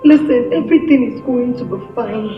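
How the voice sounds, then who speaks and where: A woman's voice: a little high, brisk, close to an everyday voice. An actress with a Nigerian accent playing an anxious mother who pleads with and consoles her injured daughter in a film melodrama. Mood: consoling, urgent. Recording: echoey, distant, dull, loud music behind.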